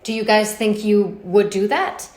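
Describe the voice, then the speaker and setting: A woman's voice: mid-range voice, a little slow, darkish tone, close to an everyday voice. Woman playing an earnest female boss, echoey room. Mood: businesslike. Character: kind but pressured female office boss. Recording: roomy, echoing space.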